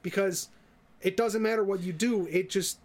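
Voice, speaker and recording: Male podcast co-host, notably high-pitched voice, opinionated in loose, casual debate. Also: fairly even intonation, moderate pace, darkish tone.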